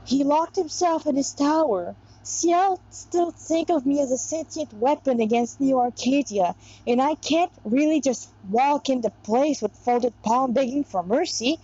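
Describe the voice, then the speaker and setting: A woman's voice: high voice, measured pace, warm tone. A woman voicing a weary, devout female warrior in a story, musing in exasperation over her mission. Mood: weary, pleading. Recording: dull, lo-fi sound.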